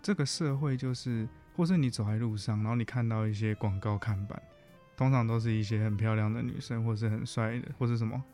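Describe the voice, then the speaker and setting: A man's voice: medium pitch, fairly quick, warm tone, faint music behind. A man with a Taiwanese accent talking reflectively as a guest counselor in a casual interview, often rephrasing his points.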